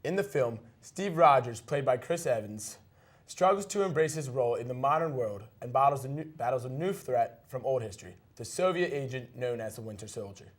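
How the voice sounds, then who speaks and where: Guy reading news as co-anchor, medium pitch, bit casual, trips on words now n then. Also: lively intonation, fairly quick. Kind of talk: scripted news reading with brief casual remarks.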